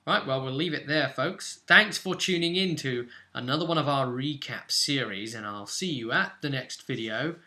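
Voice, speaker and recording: A man's voice: medium pitch, normal speed, hardly any pauses, bright, forceful tone. A man hosting as game master, casually recapping a tabletop role-playing session in an informal, storytelling way. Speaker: game master and channel host.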